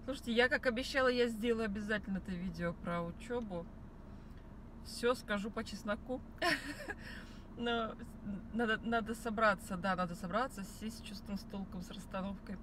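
A woman in her thirties talks to the camera from her parked car, chatty and rambling, full of fillers and restarts. Her pitch sits in the middle of the range. Steady car and street noise runs behind her voice. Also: moderate pace. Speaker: vlogger. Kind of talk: casual chat. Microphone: phone camera on the dashboard.

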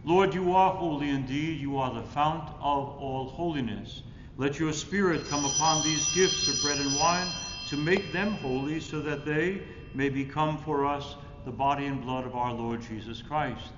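Higher-voiced older priest in an echoey church. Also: male voice. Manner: solemn, measured phrasing. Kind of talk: liturgical prayer recitation. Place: indoors in a church, at the altar. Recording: echoey church reverb, muffled, rumbling noise.

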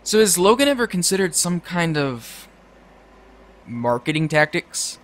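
A man's voice: high-pitched, big pitch swings, measured pace, a bit bright, heavily acted. An actor playing a cocky, naive young man who wisecracks in a casual, goofy, earnest way in an audio drama.

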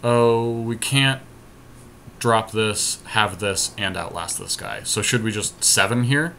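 A man with a slightly low, bright voice gives casual game commentary. He thinks aloud in slangy everyday words, and there is some faint noise in the recording. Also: slow. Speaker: podcast host playing a card game. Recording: clear background noise.

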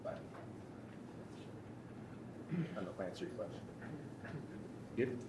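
A man in his thirties leading a workshop from a lectern in a conference room, speaking haltingly with frequent restarts. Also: on the low side, big pitch swings, heavy background noise. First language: English. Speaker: workshop instructor. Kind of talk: workshop presentation.

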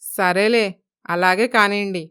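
A woman playing an older, possessive mother in an animated moral story, martyred and reluctant in manner. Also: medium pitch, measured pace, smooth.

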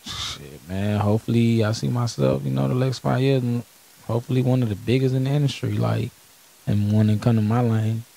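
A man's voice: medium pitch, fairly even intonation, a little fast, warm tone, rough recording. A young man with an Atlanta accent chatting casually and slangily as an interview guest, swearing freely, on a handheld microphone. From Atlanta, born in Puerto Rico. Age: young adult. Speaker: rapper being interviewed. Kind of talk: casual chat. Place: small room, seated on a couch. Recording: clear background noise and hiss.

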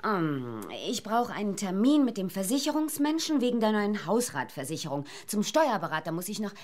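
A woman's voice: medium pitch, very animated, normal speed, darkish tone, lightly acted. A middle-aged actress playing a busy, worried, caring aunt, motherly and matter-of-fact, in a children's audio drama. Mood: harried.

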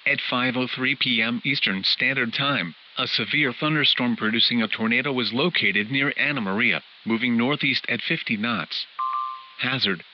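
Synthetic man on hissy, muffled weather radio. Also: medium pitch. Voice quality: synthetic text-to-speech. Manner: formal, clipped, list-like reading. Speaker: weather radio alert announcer. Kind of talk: scripted emergency weather bulletin.

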